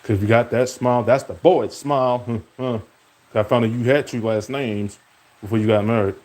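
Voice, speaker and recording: A man's voice: steady tone, normal speed, a little mellow. A young man streaming, rambling from topic to topic in casual talk and speaking to an absent rival. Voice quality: deep voice.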